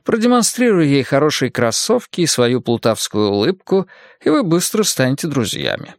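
A man voicing a languid, sardonic middle-aged male spymaster who drawls with slow irony. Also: mid-range voice, very animated, no echo, clean studio sound. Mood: lofty. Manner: drawling and ironic, slow and lofty.

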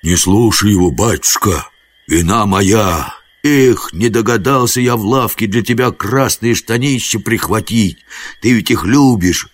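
A man voicing a rough, wily, hearty warrior from a folk fantasy audiobook, with rustic, coaxing colloquial speech. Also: lowish voice, unhurried, voice pushed up.

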